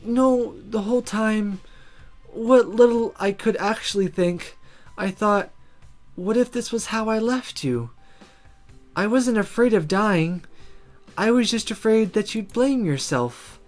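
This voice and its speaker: A woman acts out an injured young woman from a visual novel, tender and quietly reassuring. Her delivery is soft and intimate, halting between phrases yet gently teasing. Faint music plays behind her. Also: mid-range voice, pitched above the performer's own voice, lightly acted.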